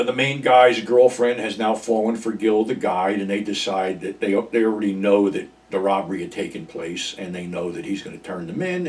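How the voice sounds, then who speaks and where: A man's voice: fairly low pitch, darkish tone. An older man casually recapping a horror film in a home office, rambling with lots of ums and uhs and some blunt swearing. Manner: rambling, frequent ums and uhs, frank and profane. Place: small home office with computers, indoors. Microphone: far camera, seated at desk. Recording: voice from some distance off the mic.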